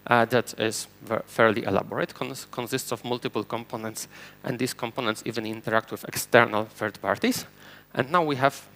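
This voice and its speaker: A man's voice: mid-range voice, creaky, fairly bright tone. A man from Poland with a Polish accent, whose first language is Polish, giving a technical conference talk from a stage and explaining step by step with fillers and restarts. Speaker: conference speaker. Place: conference stage before an audience.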